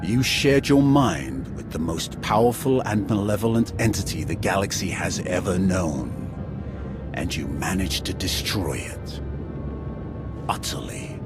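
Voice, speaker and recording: Brief: stern, war-weary dark warrior for a game cutscene; low, formal, measured, loud score underneath. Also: male voice, expressive, over music. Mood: grave. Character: solemn, battle-weary male ex-Sith warrior, grave and stern. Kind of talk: dramatic video game acting.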